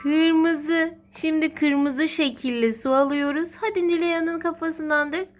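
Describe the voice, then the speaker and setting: A woman's voice: sits high, steady tone, unhurried, faint music behind. A woman talking as a mother to her small child, narrating educational play in an encouraging, sing-song way. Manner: encouraging, sing-song child-directed talk with repeated praise. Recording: clearly noisy home recording with clicks.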